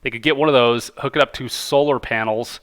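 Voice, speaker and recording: Bright-voiced man, casual commentary. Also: mid-range voice, fairly even intonation, fairly quick, clean tone. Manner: enthusiastic, informal, slangy. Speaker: video host, amateur stock commentator. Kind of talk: casual commentary, reading out quotes and reacting.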